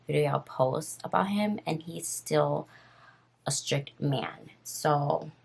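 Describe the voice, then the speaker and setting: A woman in her twenties with a raspy voice, casually telling a story on her vlog while doing her makeup, rambling and full of fillers. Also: sits low, takes it slowly. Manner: rambling, filler-heavy and nervous. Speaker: vlogger.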